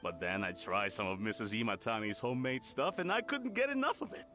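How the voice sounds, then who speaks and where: A man's voice: lowish voice, lively intonation, brisk, bright, forceful tone. An actor playing an easygoing, caring village family man in a video game. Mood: delighted. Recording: music behind the voice.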